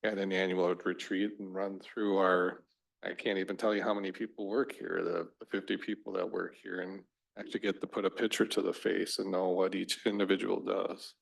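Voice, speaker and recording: A man's voice: deep voice, little pitch movement, fairly quick, gravelly, rough recording. A man on the village board thinking aloud in a casual way during a meeting. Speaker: board member. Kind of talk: meeting discussion.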